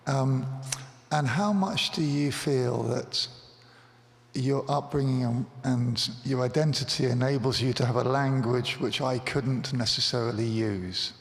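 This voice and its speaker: Man questioner, gravelly, hesitant and thoughtful, long church-hall reverb. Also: mid-range voice, a little slow. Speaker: audience questioner.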